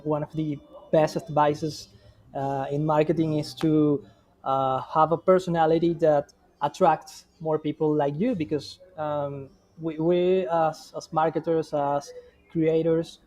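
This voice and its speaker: A man giving marketing feedback in a conversation, halting, with frequent restarts and fillers. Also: on the high side, flat delivery, a little mellow. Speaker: guest marketing expert. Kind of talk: reviewing examples in conversation.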